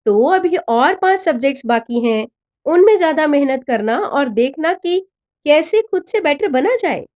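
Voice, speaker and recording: A woman's voice: high voice, lively intonation, unhurried, voice pushed up. A woman narrator voicing an affectionate, encouraging middle-aged father in a story. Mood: gentle, reassuring. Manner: gentle, encouraging.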